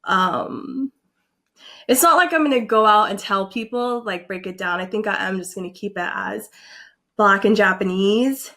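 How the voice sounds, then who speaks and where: A young woman vlogging casually, reacting in an animated, excitable way and filling her talk with likes and ums. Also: mid-range voice, moderate pace. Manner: animated, excitable, filler-heavy with frequent likes.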